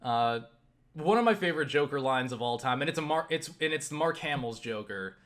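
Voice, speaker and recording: A man rambling through a casual vlog monologue, halting and circling back on himself, with casual swearing. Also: mid-range voice, expressive, fairly bright tone. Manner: halting, self-interrupting, circling back with repeated "you know"; casually profane. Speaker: YouTube vlogger.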